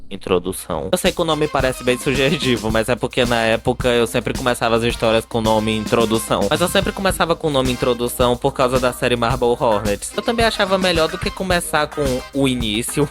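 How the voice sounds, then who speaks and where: A man's voice: medium pitch, expressive, a little fast. A young man with a Brazilian accent narrating a comedic animation video in a playful, self-mocking, mock-dramatic way. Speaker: animator and YouTuber. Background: music.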